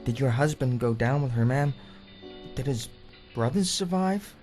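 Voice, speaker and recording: A man's voice: medium pitch, expressive, a little slow, a little mellow, faint music behind, natural voice. A man acting an eager, prying reporter in a radio play, stammering out insistent questions. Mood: urgent, prying.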